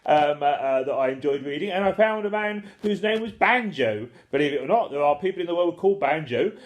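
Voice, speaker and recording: A man's voice: fairly high pitch, lively intonation, a little fast. A man chatting casually and comically, with a jokey, self-deprecating and slightly rambling, hesitant manner.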